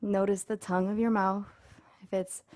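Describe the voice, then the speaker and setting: A woman leading a guided body scan meditation as an instructor, speaking in a soothing, unhurried way with pauses. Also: mid-range voice, flat delivery, a little mellow, dry recording.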